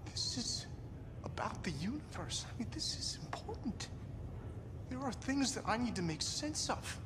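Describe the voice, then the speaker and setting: A man's voice: very high voice, big pitch swings, measured pace, background noise, heavily acted. A man playing a spaced-out young soldier searching for cosmic truth in a video game, rambling earnestly.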